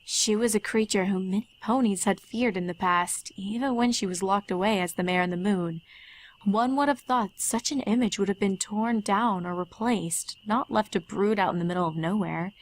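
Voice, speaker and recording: A woman reading scripted fan fiction as the narrator, in a measured storytelling manner. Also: mid-range voice. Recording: faint music under narration.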